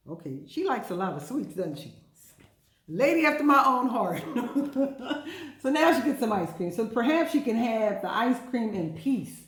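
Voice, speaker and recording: A woman hosts storytime for little children in a very animated voice that swings up and down. She is playful and chatty and keeps asking her young listeners questions. The room around her is noticeably echoey. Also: medium pitch.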